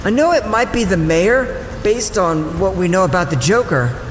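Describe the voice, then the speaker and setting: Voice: mid-range voice, expressive, moderate pace, slight background noise, close to an everyday voice. A performer voicing an eager, earnest boy superhero sidekick in an audio drama. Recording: echoey long reverb, faint music.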